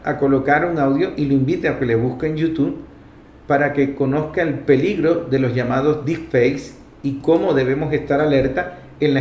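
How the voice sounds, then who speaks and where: Man, Venezuelan accent, talk show host, fairly high voice, emphatic political commentary, roomy echo. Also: a little mellow. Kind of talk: political commentary addressed to listeners. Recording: echoey, reverberant room.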